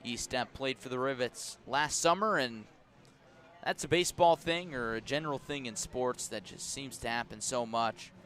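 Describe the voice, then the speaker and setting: A man's voice: medium pitch, fairly bright tone. American man calling baseball on the radio. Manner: measured and descriptive, rising to emphatic calls on action. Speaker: baseball play-by-play radio announcer. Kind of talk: live sports commentary. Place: outdoor ballpark press box.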